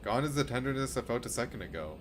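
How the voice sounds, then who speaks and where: A man's voice: medium pitch, lively intonation, quick pace, background noise. A man streaming a game, reading a visual novel aloud and adding casual commentary.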